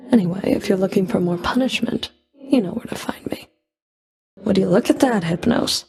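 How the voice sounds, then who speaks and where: A woman playing a cold, proud Fury of the underworld in a video game, dry and cutting, with a creaky edge to her voice. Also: medium pitch, a little mellow. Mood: dry, sardonic. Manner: dry, cutting, haughty, controlled. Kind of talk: video game character voice lines.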